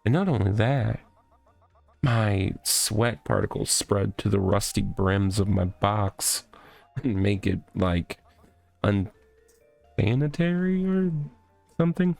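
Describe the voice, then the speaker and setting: A man voicing a rambling, oddly proud corporate mascot stuck in a cardboard box, halting and earnest, in a comedic visual novel read-aloud. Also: lowish voice, expressive, vocal fry, darkish tone, full character voice. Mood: earnest, wistful. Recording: music behind the voice.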